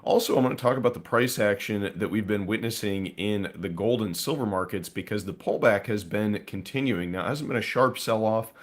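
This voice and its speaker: A man with a hoarse, raspy voice hosting a live stream, talking through market news casually to viewers. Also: lowish voice, fairly quick, hardly any pauses. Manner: conversational, with frequent fillers and asides to viewers. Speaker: host of a precious metals live stream.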